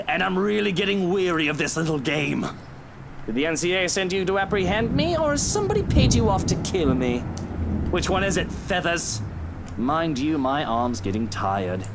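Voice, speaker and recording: A man's voice: on the high side, background noise. A man playing a hostile, weary and condescending armed man in a dramatic visual novel. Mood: menacing. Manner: haughty, menacing.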